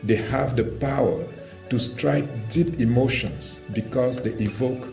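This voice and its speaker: A man from Cameroon whose first language is Romala, reading a formal, measured statement for a language organization. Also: mid-range voice, gravelly, a little mellow. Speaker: language development representative. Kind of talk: prepared statement read aloud. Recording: roomy video clip with faint music.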